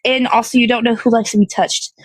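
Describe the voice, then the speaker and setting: Higher voiced lady rambling on a casual morning stream outside, kinda thinking out loud, dry sound no echo. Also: steady tone, fast talker, slightly rough. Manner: meandering, chatty, thinking aloud. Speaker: host of an online morning show. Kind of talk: casual rambling livestream chat. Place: outdoors in the cold.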